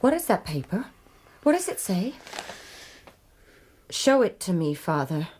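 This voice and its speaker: An actress playing an anxious, gentle young frontier daughter in a radio drama. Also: female voice, mid-range voice, sing-song, unhurried, slight background noise. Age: young adult.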